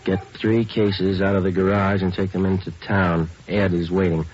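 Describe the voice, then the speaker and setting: An actor playing a terse, deadpan American police sergeant who narrates his case in a 1940s radio drama. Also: low-pitched, normal speed. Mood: businesslike, terse. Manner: clipped, deadpan. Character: male police sergeant detective, terse, dry, businesslike. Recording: dull old radio recording with music.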